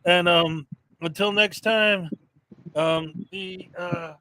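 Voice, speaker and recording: A man's voice: high voice, steady tone, slow pace, pushed and bright, rough recording. A man hosting a casual livestream show, upbeat and rambling, with lots of fillers. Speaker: livestream host.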